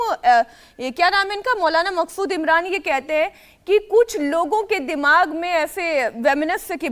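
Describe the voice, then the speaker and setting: A very high-pitched woman with a lively, swinging intonation. She moderates a live TV news debate as its anchor. She challenges and cuts in with questions.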